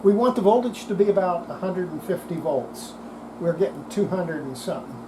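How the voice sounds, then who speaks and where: Plainspoken repair demonstrator, low-pitched for unclear gender, noisy room. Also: a little fast. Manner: plainspoken, thinking aloud. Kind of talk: casual hands-on workshop commentary.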